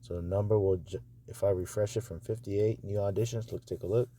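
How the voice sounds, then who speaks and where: A man's voice: on the low side, fairly even intonation, brisk, mellow. A man giving casual commentary over a screen recording, thinking aloud in a hesitant mutter. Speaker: audiobook publisher reviewing narrator auditions. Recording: noisy phone screen recording.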